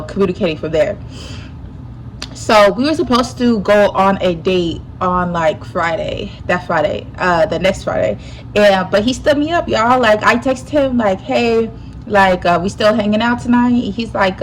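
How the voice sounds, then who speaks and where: A young woman with a slightly stuffy nose, telling a personal story in a casual, animated way full of slang. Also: mid-range voice, a little hiss or hum, poor audio. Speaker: YouTuber.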